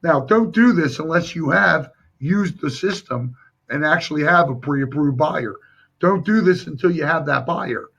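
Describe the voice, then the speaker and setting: A man with a hoarse, husky voice that sits a little high. He coaches viewers through a live sales training with emphatic, direct delivery. The audio is dull and lo-fi. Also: animated, unhurried, fairly bright tone.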